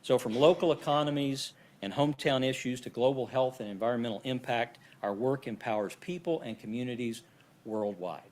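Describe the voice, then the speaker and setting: A man presents a scripted overview of his college at a campus event. His delivery is steady and practiced, as if read from prepared remarks. His voice sits in a middle register. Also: mid-range voice, a little fast, slight background noise.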